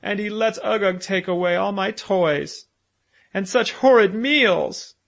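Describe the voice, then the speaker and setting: A man's voice: sits high, animated, normal speed, fairly bright tone, voice pushed up. A man voicing a small fairy boy who talks in lisping baby talk. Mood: tearful. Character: little boy, fairy child, babyish and plaintive.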